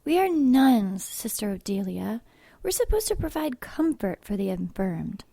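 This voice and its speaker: A woman's voice: medium pitch, sing-song, a little slow, gravelly, mellow, full character voice. A woman playing a devout, caring nun in an audio drama, earnest, pious and given to scolding. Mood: chiding.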